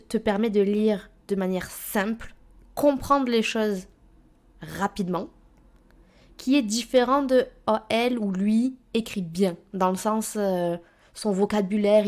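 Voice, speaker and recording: Woman podcast co-host, mid-pitched voice, thoughtful and halting, lots of little hesitations. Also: mellow. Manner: thoughtful, hesitant with many fillers. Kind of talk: casual chat about books.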